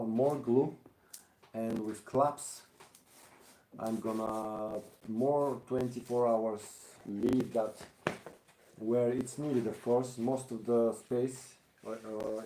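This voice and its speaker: A man from Bulgaria with a Bulgarian accent, giving a casual live craft demonstration, haltingly thinking aloud as he works. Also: medium pitch, warm tone, echoey room, slight background noise, poor audio. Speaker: craftsman hosting a live stream.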